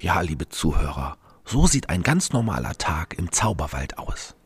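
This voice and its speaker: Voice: rumbling low, very animated, normal speed, husky. A narrator hosting a radio play podcast for children, speaking to the listeners.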